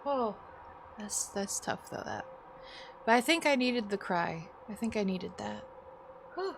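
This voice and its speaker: Slow-paced woman streaming games, emotive. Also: mid-range voice, sing-song, gravelly, a little hiss or hum. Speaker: live streamer playing games. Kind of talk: casual live game-stream commentary.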